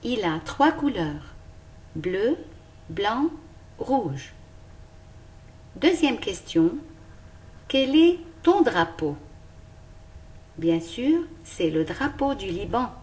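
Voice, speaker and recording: Lady teaching little kids, slow careful talk, pitch jumps around a lot. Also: sing-song, a little mellow. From Lebanon. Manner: slow, careful and clearly articulated. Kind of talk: recorded lesson for young pupils.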